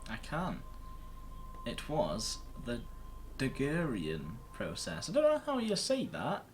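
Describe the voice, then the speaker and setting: A man's voice: mid-range voice, huge pitch swings, takes it slowly, a little hiss or hum. A man giving casual let's play commentary, muttering sweary reactions as he plays. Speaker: gaming video host.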